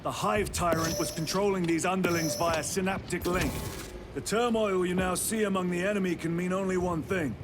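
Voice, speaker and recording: A man's voice: high voice, fairly even intonation, normal speed, music in the background, lightly acted. A man voicing a resolute, formal armored space soldier, with declarative military delivery in a dramatic video game.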